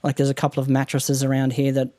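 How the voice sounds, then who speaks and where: A man's voice: medium pitch, completely flat delivery, fast talker, warm tone, dry recording, studio quality. A man with an Australian accent and a nasal voice, chatting casually as a guest about podcast gear. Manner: chatty, rambling, laughs often. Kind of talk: casual technical chat. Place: home room treated with mattresses. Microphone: condenser studio mic.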